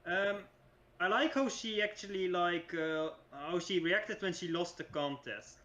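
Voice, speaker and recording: A man with a high voice chats casually and hesitantly. His audio is dull and lo-fi, like a cheap call microphone. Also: a little slow, bright, forceful tone. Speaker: panelist. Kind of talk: casual chat.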